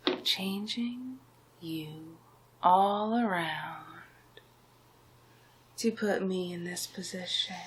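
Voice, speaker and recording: A woman voicing a scheming, smug male sorcerer villain in an ASMR roleplay, breathy and often whispering, with a condescending, commanding manner. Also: fairly low pitch, slight background noise, poor audio. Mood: gloating. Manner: slow, deliberate, commanding, condescending.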